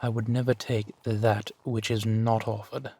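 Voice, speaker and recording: A man playing a courteous, gently protective vampire gentleman in an intimate roleplay audio drama, with formal, measured phrasing. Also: on the low side, little pitch movement, breathy edge, dry recording. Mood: apologetic, courteous. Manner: formal, polite, measured phrasing with soft sighs.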